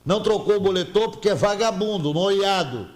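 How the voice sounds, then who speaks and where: A man's voice: high-pitched, a little fast, reverberant. Man hosting a studio debate show. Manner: emphatic, presses guests with pointed questions. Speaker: TV talk show host. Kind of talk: studio panel debate, reading viewer messages.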